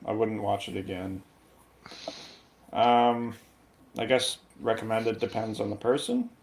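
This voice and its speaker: Low, gravelly, slow-talking male reviewer. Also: steady tone, darkish tone, a little hiss or hum. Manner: hesitant, measured. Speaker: guest movie reviewer. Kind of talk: casual review chat.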